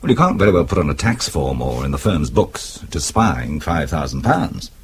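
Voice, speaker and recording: An actor playing a dry, wry, unflappable husband with an arch, ironic manner in a radio drama. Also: male voice, extremely low pitch, big pitch swings, moderate pace, exaggerated voice. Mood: wry amusement. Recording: noisy old radio drama recording.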